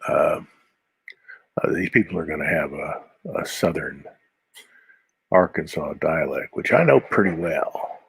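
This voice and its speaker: A man's voice: a bass voice, vocal fry, slightly rough, no echo. A man with a Midwestern accent, a comic artist chatting casually and muttering to himself as he draws on a live stream. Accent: Midwestern American. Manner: mutters to himself between strokes, rambling asides. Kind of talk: casual live-stream commentary while drawing.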